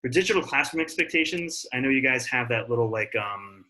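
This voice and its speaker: A man's voice: mid-range voice, expressive, fairly quick, sharp tone, rough recording. An American man in his thirties teaching an online class, talking in a casual, friendly way with plenty of fillers. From Africa and Italy.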